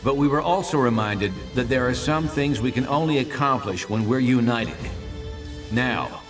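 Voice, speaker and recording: A male actor as a noble superhero leader in a game, giving an earnest, rousing speech. His medium-pitched voice sounds away from the mic, with music swelling behind. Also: moderate pace, lightly acted. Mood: solemn, resolute. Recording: distant microphone, music behind.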